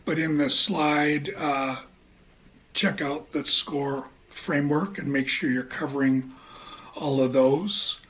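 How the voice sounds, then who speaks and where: Male English teacher, husky voice, unhurried, halting lecture delivery. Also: fairly high pitch, fairly even intonation, fairly bright tone. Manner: slow, halting, with many ums and uhs. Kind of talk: relaxed spoken lecture.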